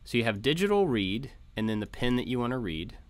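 A man's voice: medium pitch, big pitch swings, a little fast, dry recording. A man narrating an informal code walkthrough as a tutorial host, explaining things step by step. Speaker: host of a maker tutorial channel. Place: voice-over for a screen recording.

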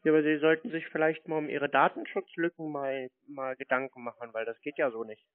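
A man on a phone call, baiting the caller in a terse, deadpan, dryly provocative manner. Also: a little high, a little fast, dry recording. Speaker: prank host answering scam calls. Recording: narrow, tinny phone line.

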